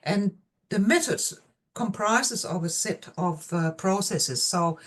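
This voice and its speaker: A woman with a Danish accent and Danish as her first language, a professor presenting research in a seminar talk over a video call. She speaks hesitantly, with many pauses and fillers. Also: mid-range voice, expressive.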